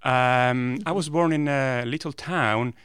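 A man with an Italian accent, a native Italian speaker, explaining science in a conversational interview with enthusiasm and pauses between phrases. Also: medium pitch, fairly bright tone, clear, resonant tone, no room sound, clean studio sound. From northern Italy. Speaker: guest physicist. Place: podcast studio.

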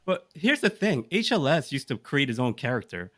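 A man's voice: mid-range voice, big pitch swings, normal speed, a bit bright, dry recording, clean studio sound. A man co-hosting a podcast, talking casually and enthusiastically through his picks, often throwing out rhetorical questions. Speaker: podcast co-host. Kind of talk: casual chat, pitching a list of picks to the group.

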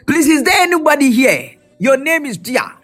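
A man preaching and prophesying, fervent and repetitive, his voice hoarse and partly lost. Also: extremely high pitch, sing-song, normal speed, faint music behind. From Ghana. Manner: fervent, repetitive, shouted declarations. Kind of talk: prophetic sermon with prayer.

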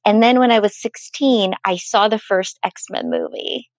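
A woman, a clinical psychologist, answering podcast interview questions in an earnest, measured way while recovering from a cold that leaves her nasal. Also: medium pitch, huge pitch swings, no room sound, highs cut off.

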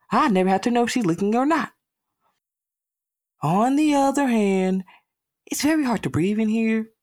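Woman doing a mopey lonely kid monologue, low voice, down on himself, really dry recording. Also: darkish tone, no room sound. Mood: fretful, resigned. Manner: glum, sighing, self-deprecating. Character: lonely, self-doubting young boy pining for a girl. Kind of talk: dramatic monologue reading.

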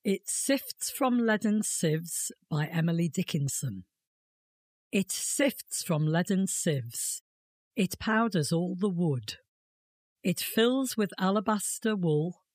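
A woman reciting poetry aloud as a volunteer reader, with measured, deliberate phrasing. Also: fairly low pitch, darkish tone, no room sound.

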